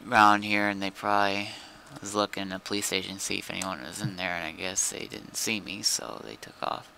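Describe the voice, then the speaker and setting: A male game streamer with a low, gravelly voice, talking casually as he plays. His tone is very sharp and forward, and some background noise sits behind him. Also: a little fast, rough recording. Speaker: game livestreamer. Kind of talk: casual gaming commentary and chat. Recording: background noise, keyboard typing.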